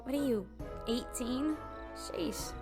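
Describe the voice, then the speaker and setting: A woman acting a high-voiced, sardonic young woman. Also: animated, slow, music in the background, heavily acted. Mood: dismissive, tired. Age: young adult. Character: guarded, sardonic young woman, reluctant to leave home. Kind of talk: audio roleplay drama.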